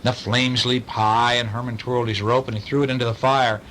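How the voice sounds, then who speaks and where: A man's voice: mid-range voice, flat delivery, a little fast, a bit bright, a little hiss or hum, poor audio. A man reading a bedtime story aloud to children, with chatty asides as the storyteller.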